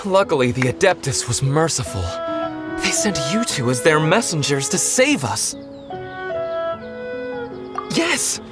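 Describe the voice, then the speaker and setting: A man's voice: on the high side, sing-song, a little slow, pauses often. A man voicing a humble, grateful poor young man in a video game, earnest and deferential. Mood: overjoyed, relieved. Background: game music.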